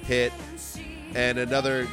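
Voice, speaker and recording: A man's voice: mid-range voice, monotone, a little slow. Enthusiastic man over music. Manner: enthusiastic, informal, swearing. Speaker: music podcast co-host. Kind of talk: casual chat. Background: pop-rock songs playing.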